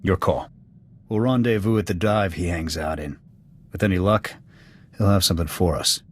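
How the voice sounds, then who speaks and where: An actor playing a stern, loyal soldier in a video game, speaking in a clipped military manner. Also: male voice, low voice, moderate pace, slight background noise, lightly acted. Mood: terse, sardonic. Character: gruff, stern alien male soldier, loyal and suspicious. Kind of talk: video game dialogue acting.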